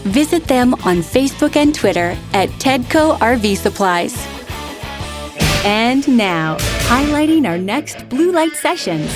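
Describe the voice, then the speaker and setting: A woman announcer reading scripted sponsor messages for a podcast. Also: on the high side. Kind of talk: scripted ad read. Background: guitar music.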